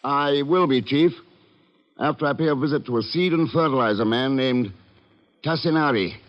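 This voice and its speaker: A man's voice: mid-range voice, normal speed, lightly acted. A man acting a cool, commanding investigator in a 1950s radio drama, terse, dry and impatient. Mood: businesslike. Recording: muffled, noisy old radio recording, clicks.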